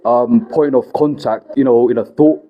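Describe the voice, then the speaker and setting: A man's voice: mid-range voice, steady tone, normal speed, dull audio. A man hosting an interview vlog, asking long rambling questions full of "you know" and "right?". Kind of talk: casual interview.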